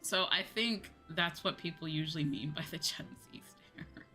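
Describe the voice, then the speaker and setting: A woman's voice: low-pitched, normal speed, sharp tone, faint music behind. A woman streamer talking casually and conversationally in a livestream chat. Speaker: VTuber streamer.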